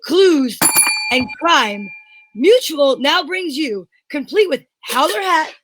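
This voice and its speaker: Old-time radio mystery announcer played by a woman, very high voice, declamatory, amateur stop-and-start reading. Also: expressive, slow, over music. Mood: grand, presentational.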